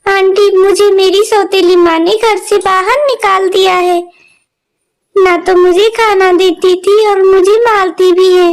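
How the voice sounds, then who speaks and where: A woman's voice: very high voice, steady tone, takes it slowly, voice pushed up. A woman voicing a sad, hungry little stepdaughter who pleads and sounds tearful.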